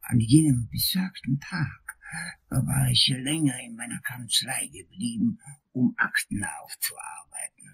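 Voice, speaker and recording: A man playing an anxious, long-winded lawyer in a crime radio play, telling his story in a halting, melodramatic, husky and creaky voice. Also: mid-range voice, animated, exaggerated voice. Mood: ominous, dramatic. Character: anxious, long-winded male lawyer recounting a night attack.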